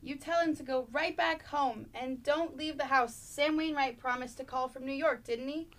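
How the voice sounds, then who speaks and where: A nosy, scolding mother acted by a woman calls out in a high voice, as if from offstage. She is meddlesome and suspicious, in a radio play manner. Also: moderate pace, fairly bright tone. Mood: nagging, suspicious.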